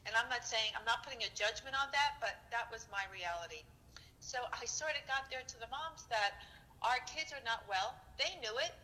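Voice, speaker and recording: A middle-aged woman pediatrician in a phone interview, talking in a lively way, laughing often and acting out what she says to people. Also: on the high side, moderate pace, low-quality recording. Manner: lively, laughs often, acts out quoted remarks. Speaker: pediatrician guest. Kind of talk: phone interview, animated storytelling. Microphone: phone line.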